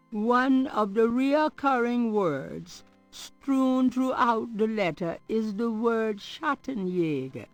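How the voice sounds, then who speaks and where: The wry narrator of a nineties mystery game. She takes her time, drawing out phrases in a deliberate, theatrical storyteller's way, with quiet music underneath. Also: medium pitch, dry recording. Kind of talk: scripted narration in a 1990s adventure game.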